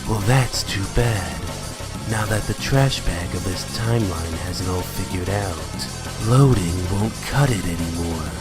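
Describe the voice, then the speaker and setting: A man voicing a spiteful, sneering talking flower villain in a comic dub, with mocking, drawn-out taunts. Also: low-pitched. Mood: smug menace. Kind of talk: fan comic dub acting. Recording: loud music under the voice. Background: loud music.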